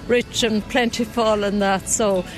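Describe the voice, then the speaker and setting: A woman's voice: mid-range voice, steady tone, unhurried, slight background noise, rough recording. A woman in a street interview, explaining customs in a thoughtful way.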